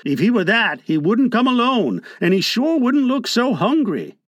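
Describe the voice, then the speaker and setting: A wise old prospector with a high, lively, swooping voice that soothes and reasons in a folksy drawl, as a male narrator puts him on. The sound is close and dry, like a storybook read in a small booth. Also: moderate pace, no echo. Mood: soothing. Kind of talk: audiobook character voice.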